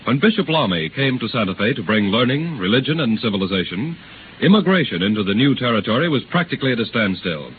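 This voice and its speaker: A man narrating frontier history formally and gravely in a scratchy 1930s radio drama. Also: mid-range voice, very animated, fast, narrow, tinny audio, close to an everyday voice.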